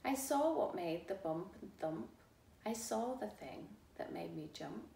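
A middle-aged woman reads a children's storybook aloud in a deliberate, expressive manner, recorded in a living room from a few feet away. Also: mid-range voice, vocal fry. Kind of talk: read-aloud storytime narration. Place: small living room, indoors. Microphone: camera a few feet away. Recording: roomy, distant mic, faint hiss.

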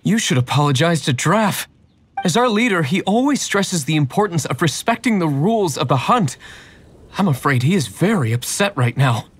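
A man's voice: fairly high pitch, very animated, moderate pace, husky. A man playing a stern, concerned young hunter in a video game, earnest and scolding. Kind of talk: video game character acting.

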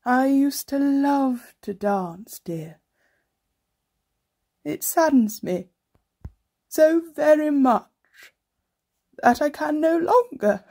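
Woman doing frail old granny, creaky and slow, fond and wistful, radio play. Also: high voice, animated, mellow, heavily acted. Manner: slow, doting, old-fashioned genteel speech. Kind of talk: 1930s-set radio play acting.

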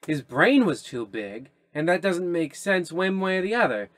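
An actor playing a pompous, testy host in a parody of old radio show narration. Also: male voice, sits high, big pitch swings, normal speed. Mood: disgruntled. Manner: theatrical, testy.

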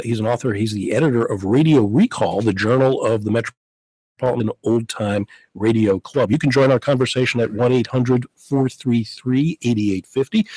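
A man's voice: medium pitch, a little mellow, no room sound, rough recording. A middle-aged man filling in as host of a public radio talk show, articulate and gesturing as he talks in a small studio. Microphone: studio mic with headphones.

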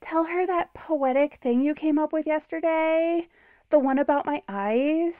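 Woman playing a high-voiced, breezy girlfriend on the phone. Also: measured pace, dry recording. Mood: doting. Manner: drawling, teasing. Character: breezy, sardonic, besotted young woman, a devoted girlfriend. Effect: phone filter. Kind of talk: modern audio drama acting. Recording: tinny narrow phone line.

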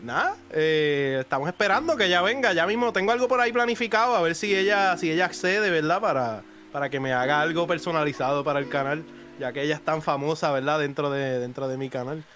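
Puerto Rican man, fairly high voice, music behind. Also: quick pace, fairly bright tone. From Puerto Rico. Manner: slangy, often tags phrases with a question. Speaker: streamer, podcast guest. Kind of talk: casual podcast chat. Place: bedroom-style streaming room, indoors. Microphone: close desk microphone.

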